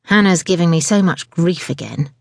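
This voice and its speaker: A woman narrator plays a weary, charming man from a storybook. The voice is confiding and put-upon, with lively intonation and no room echo. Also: fairly low pitch, moderate pace, creaky. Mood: exasperated.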